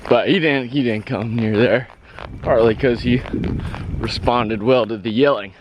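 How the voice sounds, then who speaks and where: Slow-talking hoarse man on a trail. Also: medium pitch, muffled recording, a little hiss or hum. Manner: rambling, halting, laughs often. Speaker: hiker vlogger. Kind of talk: casual trail vlog. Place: outdoors on a rural trail.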